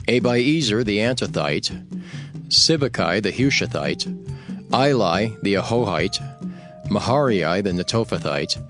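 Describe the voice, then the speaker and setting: A man narrating scripture from a script in a measured, formal manner. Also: lowish voice, lively intonation. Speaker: Bible narrator. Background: background music.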